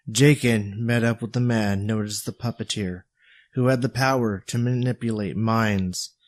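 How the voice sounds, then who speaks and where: This is a battle-worn bodyguard in an audio story, as a man acts him out. His voice is fairly low and closely recorded with no room sound. He talks in a sober, measured, earnest way, like a mentor. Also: no room sound, lightly acted.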